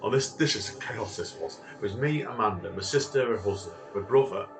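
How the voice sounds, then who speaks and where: A man's voice: on the low side, expressive, brisk. A man hosting a podcast in a casual, rambling and jokey way, heard in an echoey recording some way from the mic. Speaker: podcast host. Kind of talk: casual, informal podcast chat. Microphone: some distance from the mic. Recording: echoey, distant mic, music behind. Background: music.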